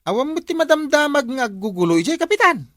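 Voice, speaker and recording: A man's voice: extremely high pitch, sing-song, fast, fairly bright tone, dry recording, clean studio sound, exaggerated voice. A man acting an eager village watchman and comic sidekick in an Ilocano comedy drama, with an Ilocano accent. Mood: curious, eager.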